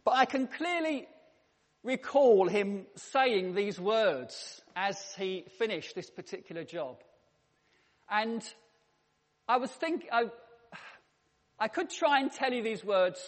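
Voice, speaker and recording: A man's voice: high-pitched, lively intonation, takes it slowly, pauses often. A man preaching a sermon in a church, telling stories with a dry, humorous touch. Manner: humorous anecdotal storytelling. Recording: long reverb in a church hall.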